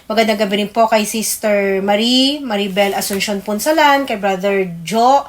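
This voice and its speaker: A Filipino woman co-hosting a religious radio program, giving prayers and greetings politely and pausing as she recalls names. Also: medium pitch.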